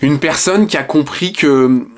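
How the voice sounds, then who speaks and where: A man's voice: fairly high pitch, unhurried. Reflective French man speaking to camera. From Ardennes, France. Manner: frank and reflective, many hesitant "euh" fillers. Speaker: author and online speaker. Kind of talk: personal storytelling to camera. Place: small home room with shelves and lamp.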